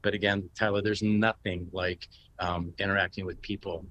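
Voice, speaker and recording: A man's voice: deep voice, level tone, normal speed, a bit bright, no echo, muffled recording. An American man in his sixties answering interview questions casually over a video call. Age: 60s. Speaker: interview guest, painter. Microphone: video call, sitting back from the device.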